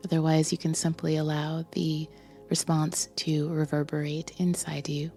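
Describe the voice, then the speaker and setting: Female meditation guide: low, soft and breathy, very even in tone, with music behind her. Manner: slow pauses between short phrases, gentle instruction.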